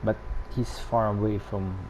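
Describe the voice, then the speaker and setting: Casting: female gameplay commentator, notably low register, slow and relaxed short asides. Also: background noise. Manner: laid-back, murmured short reactions. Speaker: gamer commentator. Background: game music.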